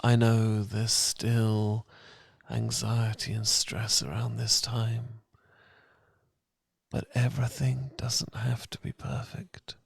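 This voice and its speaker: A British man speaks as a tender, reassuring boyfriend in a romantic ASMR meditation. His voice is very gravelly and husky, softened almost to a whisper. He takes his time, unhurried and soothing, close to the mic in a dry space. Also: mid-range voice, no room sound. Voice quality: soft, breathy, gravelly, partly whispered. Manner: gentle, calming, intimate, unhurried. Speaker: ASMR roleplay performer. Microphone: close, dry ASMR miking.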